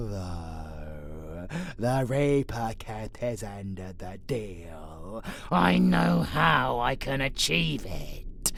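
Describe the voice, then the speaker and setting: Growly evil vampire monster, super slow n dramatic, sadistic but kinda fond, audio roleplay, bit of noise behind. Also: male voice, mid-range voice, vocal fry, full character voice. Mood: gloating. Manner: theatrical, taunting, foul-mouthed, swinging from whispers to shouts. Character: menacing vampire abomination, theatrical, sadistic, grudgingly fond predator.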